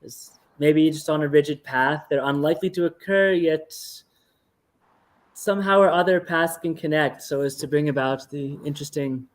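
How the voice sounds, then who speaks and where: A man with a somewhat high voice speaks reflectively in a casual discussion. He is tentative, picking his words with care. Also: moderate pace. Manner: tentative, careful wording. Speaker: discussion group participant.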